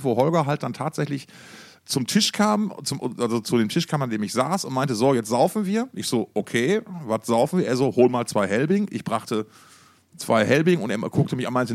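A man chatting casually as a podcast co-host, excitable, often repeating words and cutting in. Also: mid-range voice, no room sound, studio quality. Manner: excitable, stammering repetitions, interrupting. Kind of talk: casual podcast banter, anecdotes.

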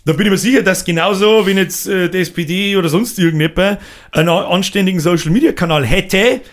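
A man's voice: high-pitched, fast talker. A man with a Bavarian accent in casual podcast chat, blunt and rambling, swearing freely and restarting his sentences. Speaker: podcast co-host.

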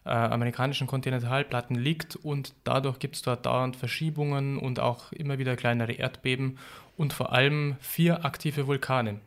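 A podcast co-host calmly explaining background facts in a true crime episode. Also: mid-range voice, normal speed.

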